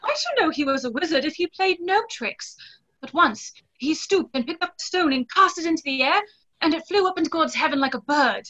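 A woman's voice: sits high, expressive, a little fast, pushed and bright. An actress in her twenties playing a dreamy, fanciful young woman in a play reading over a video call. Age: 20s. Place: small indoor room, video call. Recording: dull video-call audio.

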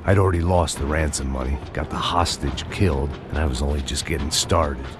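An extremely low American voice plays a bitter, middle-aged ex-cop. He narrates a noir video game with weary, sardonic deadpan. Loud music runs behind him. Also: brisk. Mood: grim, weary.

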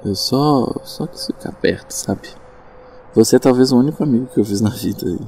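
A man playing a nervous, gentle, traumatized friend in an audio drama, hesitant and stammering. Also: medium pitch, moderate pace, a little hiss or hum, close to an everyday voice. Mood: anxious.

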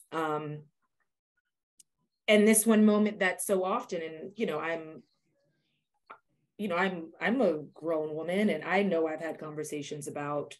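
Woman on a video call talking really slowly, lowish voice, thoughtful, feeling for words. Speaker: actor on a cast panel. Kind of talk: reflective casual discussion. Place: indoor room on a video call. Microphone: video call computer microphone.

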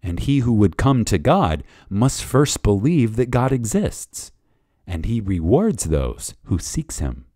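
Low, expressive man reading reverently. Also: dry recording, clean studio sound. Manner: reverent, emphatic, with deliberate pauses. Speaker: reader of a lecture. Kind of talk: dramatic reading of a spiritual lecture.

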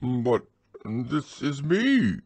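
A man voicing a slow, simple bear who loves food, speaking in a drawn-out, pondering way. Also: deep voice, wildly swooping pitch, creaky, a little mellow, husky, no room sound, exaggerated voice. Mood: puzzled.

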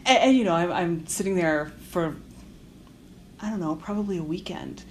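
Female interviewer, fairly low register, conversational and hesitant, slight room noise. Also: moderate pace, rough recording. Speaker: interviewer and writer. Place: hotel.

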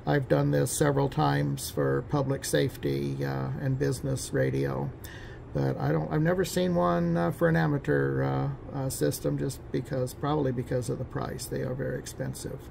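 Male technician, very gravelly fry, informal halting explainer delivery, noticeable background noise. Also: medium pitch, level tone, darkish tone. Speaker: radio technician giving a demonstration.

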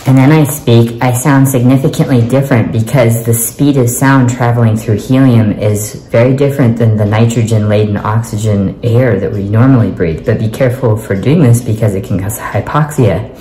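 A man's voice: medium pitch, steady tone, moderate pace, warm tone. A man in his thirties explaining science casually, his voice altered by inhaled helium. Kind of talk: casual science demonstration to camera. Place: small hard-surfaced room indoors. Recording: echoey room.

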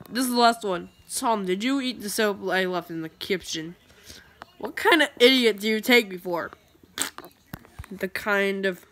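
A woman's voice: medium pitch, fairly bright tone. A woman reading a webcomic aloud and switching voices for each character, filmed in a dim room while pointing a phone at a tablet. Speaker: comic dubber.

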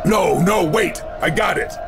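An actor playing a cocky soldier hero of a video game who kills monsters and delivers wry, punchy one-liners. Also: male voice, high-pitched, measured pace, creaky, raised voice, music in the background, a crowd in the background.

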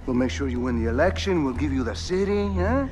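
An actor playing a smug, menacing cartel boss, mock-polite and theatrical, in a video game. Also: male voice, medium pitch, animated, fairly quick, background noise, natural voice. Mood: mocking persuasion.

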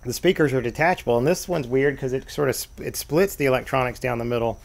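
An easygoing man with a gravelly edge to his voice talks through an old machine in a relaxed home vlog. His pitch sits in an ordinary middle range, and he rambles with plenty of fillers. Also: quick pace. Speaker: vintage tape deck repair vlogger. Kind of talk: casual show-and-tell narration. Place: sunny room at home, at a desk.

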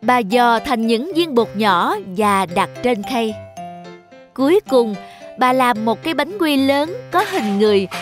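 A woman narrates a scripted story for children in a fairly high voice. Jingly children's music plays clearly behind her. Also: expressive, measured pace.

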